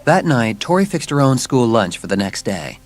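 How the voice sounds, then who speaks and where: A man narrates a children's story at a middling pitch. His delivery is scripted audiobook storytelling. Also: sing-song, normal speed.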